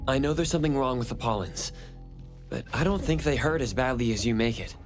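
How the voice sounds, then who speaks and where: A man playing a calm, perceptive investigator in a video game, explaining things thoughtfully. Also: mid-range voice, brisk, soft background music, lightly acted. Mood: unbothered. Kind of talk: video game character dialogue.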